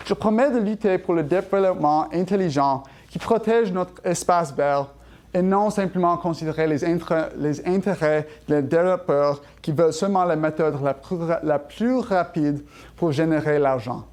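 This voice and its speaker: A man's voice: on the high side, normal speed, a little mellow. A man giving an earnest prepared campaign speech as a council candidate, stumbling over words and correcting himself in a language that seems second to him.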